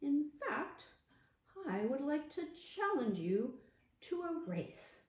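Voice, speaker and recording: A woman voicing a calm, unruffled tortoise in a storybook fable, measured and quietly confident. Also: sits high, echoey room, voice pushed up, full character voice. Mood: calm confidence.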